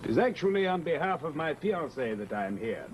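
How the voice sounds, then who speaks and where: A sinister, grandiose mesmerist with pompous, formal diction from old radio horror. His slightly high voice swings widely in pitch over a faintly noisy recording. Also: very animated, brisk. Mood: stiff, formal. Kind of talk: old-time radio horror drama acting.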